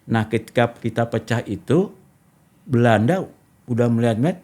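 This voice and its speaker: A man's voice: mid-range voice, animated, moderate pace, darkish tone. A middle-aged man, talking casually as a talk show host and explaining step by step. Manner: discursive, explains step by step with history and examples.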